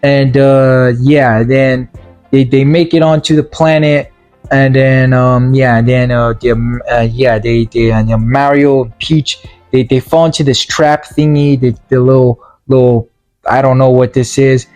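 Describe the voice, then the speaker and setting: A man giving a casual, rambling film review in slangy, informal language, halting and repeating words, with sarcastic asides. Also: mid-range voice, steady tone, a little mellow, soft background music. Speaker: movie reviewer.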